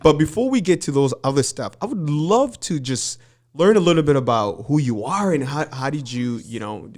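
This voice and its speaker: A man hosting a podcast interview, talking in a relaxed, friendly way and asking questions. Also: a little high, normal speed. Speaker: podcast host. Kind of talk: casual interview chat.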